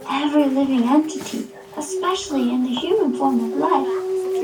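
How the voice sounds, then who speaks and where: Puppet show hero: devout, gentle young boy prince played by a woman, high, measured delivery, distant mic with music. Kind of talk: scripted puppet show recitation. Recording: echoey, distant, music behind.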